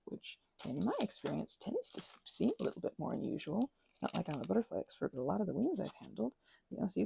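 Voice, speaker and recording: A woman murmurs through a meandering ASMR ramble at home, her voice deeply gravelly with fry and noticeably husky. Her tone sits very mellow and dark, and she slips into whispers between stretches of soft talk. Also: no room sound. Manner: meandering, filler-heavy, drifting into whispers. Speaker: ASMR creator. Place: indoors at home.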